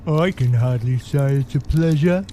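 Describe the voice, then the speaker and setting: A man's voice: medium pitch, normal speed, mellow, a little hiss or hum, lightly acted. A man voicing a bewildered, earnest bookworm creature in an audio drama, with an anguished, dramatic delivery. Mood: shaken.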